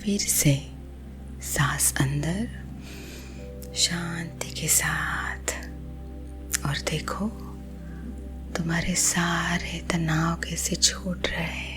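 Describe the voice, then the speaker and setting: A woman with an Indian accent guiding sleep hypnosis in a husky, breathy ASMR whisper, gentle and soothing. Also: fairly low pitch, steady tone. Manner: slow, soothing, gentle, hushed. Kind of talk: whispered ASMR guided visualisation. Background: soothing music.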